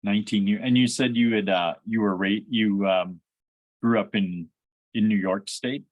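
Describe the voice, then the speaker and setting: A man hosts a conversation in a lowish voice with a close, dry sound. He is halting and often starts his phrases over. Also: steady tone, no echo. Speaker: podcast host. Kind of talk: conversational interview.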